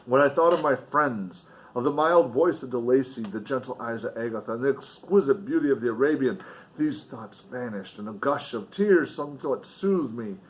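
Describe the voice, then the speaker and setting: A man's voice: medium pitch, animated, normal speed, poor audio. A man reading a novel aloud in a dramatic, emphatic way, with casual offhand commentary along the way. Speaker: reader and commentator.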